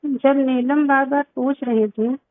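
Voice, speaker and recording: Woman caller, high voice, insistent and reproachful in a private argument, tinny phone line. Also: steady tone, takes it slowly, dry recording. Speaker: caller on a recorded phone call. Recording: tinny lo-fi phone call recording.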